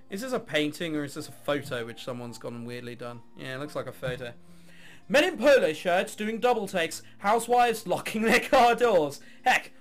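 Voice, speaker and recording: A man with a fairly high, lively voice plays through a game on mic. He reads the on-screen text and breaks off for sarcastic, sweary asides. Faint music runs behind him. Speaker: let's play host. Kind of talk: let's play commentary, reading game text aloud.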